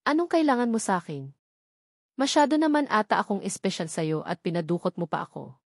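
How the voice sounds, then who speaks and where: A woman's voice: medium pitch, animated, fairly quick, dry recording. A defiant captive heroine from an audio novel, read by a woman narrator, speaks bitterly and vows revenge. The recording is dry and close. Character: defiant captive wife, a secret agent vowing revenge.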